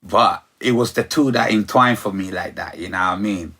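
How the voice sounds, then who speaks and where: A middle-aged man from Ghana, his voice low and husky. He rambles through his answers, circling back with "you know" and "like I said.". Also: moderate pace, bright, forceful tone. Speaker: sound system operator, guest. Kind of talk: relaxed interview chat.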